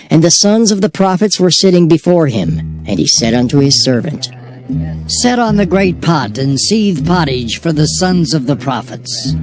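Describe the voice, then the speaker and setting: A man's voice: mid-range voice, darkish tone. Solemn male scripture narrator over music. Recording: music bed behind voice.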